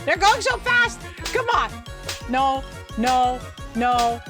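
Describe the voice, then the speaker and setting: A woman with a fairly high voice hosts a let's play over clearly audible music. She reads the game's text aloud and adds her own playful, expressive comments. She often chatters to herself as she plays. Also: takes it slowly. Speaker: gaming video host.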